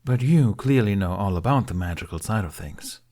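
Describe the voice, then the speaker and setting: A playful young man with a protective streak speaks in a lowish, easy register, as a male reader voices him. He teases one moment and soothes the next, in a fan audiobook. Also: expressive, normal speed, warm tone, natural voice. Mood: teasing.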